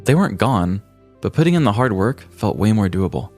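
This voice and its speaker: A man's voice: fairly low pitch, very animated, a little fast, darkish tone, faint music behind. An American man co-hosting a comedy podcast, telling stories with wry, dry humor. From American Midwest. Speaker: podcast co-host. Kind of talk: casual comic podcast talk and scripted storytelling.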